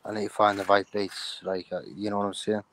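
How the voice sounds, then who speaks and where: Flat-voiced young man from the UK. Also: lowish voice, fast talker, a little hiss or hum. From United Kingdom. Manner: flat, mumbled, lots of "you know what I'm saying". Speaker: rapper guest. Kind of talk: casual interview answers.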